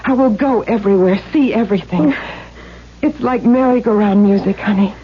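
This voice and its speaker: A woman's voice: medium pitch, moderate pace, husky, lightly acted. An actress playing a bold, protective elder sister in her thirties in a 1970s radio drama, urgent and coaxing. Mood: coaxing, tender. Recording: dull vintage radio recording, music behind.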